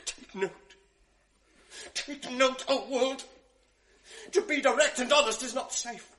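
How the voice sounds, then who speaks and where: An actor playing a manipulative ensign in a classic radio drama, insinuating and often dropping to a whisper. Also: male voice, very high voice, takes it slowly, bright, forceful tone, heavily acted. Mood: indignant. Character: scheming male ensign, sly and manipulative.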